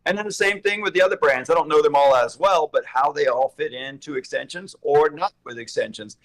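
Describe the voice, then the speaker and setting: A man co-hosting a casual chat, slightly hoarse and rambling as he thinks aloud. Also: fairly high pitch, a little fast, a bit bright, rough recording. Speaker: co-host.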